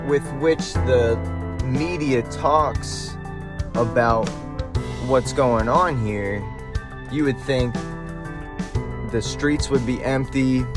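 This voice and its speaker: Slow, halting American man over loud music. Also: mid-range voice. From eastern Ohio, USA. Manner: halting, fragmented phrases with trailing thoughts. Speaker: vlogger. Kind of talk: casual driving vlog commentary. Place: inside a moving car. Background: music and vehicle sound.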